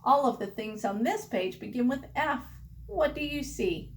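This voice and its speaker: A woman reading aloud to young children as a teacher, enunciating deliberately and prompting them with questions. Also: high voice, expressive, creaky, slight background noise. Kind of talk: children's read-aloud lesson.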